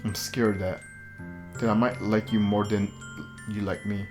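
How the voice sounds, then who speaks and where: A man voicing a fragile, lovesick, self-blaming teenage schoolgirl in a visual novel, halting and pleading, over music. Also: lowish voice, little pitch movement, lightly acted. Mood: anguished. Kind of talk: visual novel character reading.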